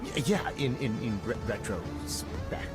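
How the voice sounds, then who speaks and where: An actor voicing a nervous, stammering monkey sidekick in a comic video game scene, with music underneath. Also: male voice, medium pitch, wildly swooping pitch, a little slow. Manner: hesitant, stammering.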